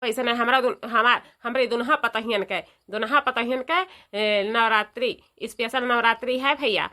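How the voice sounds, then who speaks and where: Cartoon village mother-in-law, woman performer: older, devout, chatty, fairly high bright voice, eastern UP accent. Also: steady tone, normal speed. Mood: proud, devout. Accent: eastern Uttar Pradesh. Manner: emphatic, repeats phrases, folksy lecturing. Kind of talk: comedy cartoon dialogue.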